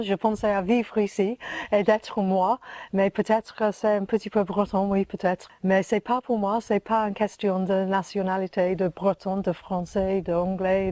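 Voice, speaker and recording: A woman's voice: medium pitch, warm tone, dry recording. A woman being interviewed, answering in a casual, hesitant and musing way.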